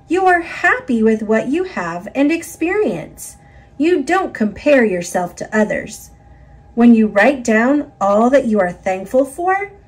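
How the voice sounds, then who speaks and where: A woman narrating a children's picture book in an expressive, instructive read-aloud style for young listeners. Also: high-pitched.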